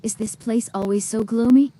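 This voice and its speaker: A woman's voice: fairly high pitch, fairly even intonation, moderate pace, warm tone, close to an everyday voice. A woman's synthesized voice playing an inquisitive female adventurer in a video game. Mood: curious.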